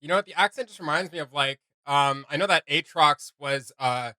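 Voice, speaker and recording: A man hosting a reaction video, chatting casually like an excited, slangy fan. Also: on the high side, brisk, dry recording. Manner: enthusiastic fan, slangy, hesitant phrasing.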